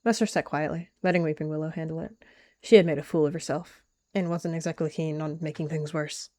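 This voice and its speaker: A woman's voice: low voice, steady tone, brisk, darkish tone, dry recording. A woman narrating a story in the third person.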